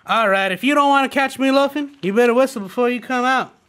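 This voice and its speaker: A wisecracking assistant, acted by a man, his voice sitting very high as he bends every word into a joke. He sounds quick and playful, straight out of an old radio comedy. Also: background noise. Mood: cheeky. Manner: quick, playful, twists words. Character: scheming wisecracking Italian immigrant assistant, man. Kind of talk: radio play acting.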